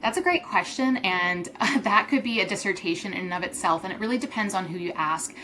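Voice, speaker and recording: A woman's voice: mid-range voice, fairly quick, bright, forceful tone, a little hiss or hum. A woman from Syria answering interview questions thoughtfully, with many ums and restarts; her first language is Arabic. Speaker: guest.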